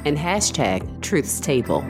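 A woman's voice: low-pitched, very animated, slow pace. A woman reading a scripted podcast outro as an announcer. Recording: voice over clear background music.

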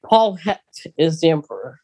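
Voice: medium pitch, sing-song, moderate pace, dark tone, breathy edge, dry recording. A podcast co-host chatting casually and animatedly about a fan topic.

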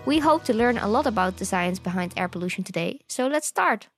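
A woman co-hosting a science podcast, reading the intro and asking the guest clear, welcoming questions. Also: medium pitch, animated, brisk. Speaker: podcast co-host. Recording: dry close recording, faint music.

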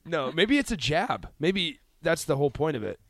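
A man's voice: fairly high pitch, sing-song, normal speed, gravelly, dry recording, studio quality. A man co-hosting a sports radio show, talking in a laid-back, self-deprecating way. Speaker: radio co-host. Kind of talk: casual sports radio banter. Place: radio studio.

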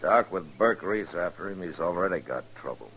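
A steady frontier marshal with a low, deep voice and dry, laconic delivery, in a 1950s radio western with faint background noise. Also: male voice, normal speed. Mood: measured. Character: calm, wry, steady frontier lawman. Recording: faintly noisy old radio recording.

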